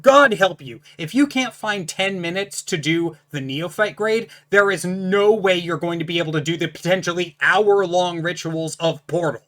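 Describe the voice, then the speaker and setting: A host speaking straight to the viewer with a powerful, distinct voice and a bright, sharp edge to its tone. The delivery is casual but emphatic, setting out firm rules in a blunt, lecturing way. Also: mid-range voice, moderate pace, few pauses. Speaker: channel host reviewing a book. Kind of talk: casual explanatory talk to camera.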